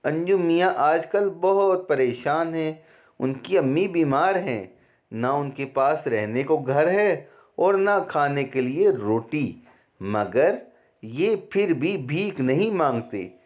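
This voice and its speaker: A man voicing an innocent, gentle young female swan who pleads earnestly and plaintively in a children's fairy tale. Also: a little high, expressive, slow pace. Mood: gentle concern.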